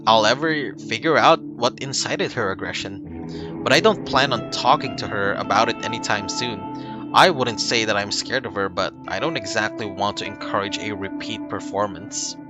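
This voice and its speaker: A man streaming a game, reading its first-person narration aloud in a calm, unhurried way with small slips and restarts. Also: on the low side. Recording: loud music behind the voice. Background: loud music.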